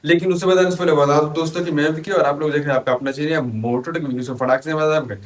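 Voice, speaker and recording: Male tech tutorial host, very quick delivery, slightly high pitch, casual and repetitive check-ins. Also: fairly even intonation. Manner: repetitive tag questions, addresses viewers as friends. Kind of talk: casual step-by-step phone tutorial.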